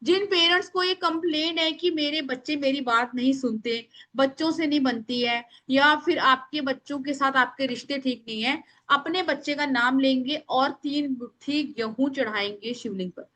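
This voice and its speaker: Female online teacher. High-pitched, forceful and loud, informal but instructive, with emphatic delivery and English words mixed in. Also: moderate pace, hardly any pauses. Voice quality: loud, strong voice. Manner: instructive, emphatic, addresses listeners by name; mixes English words into speech. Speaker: astrology remedies teacher. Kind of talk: live online class, informal explaining.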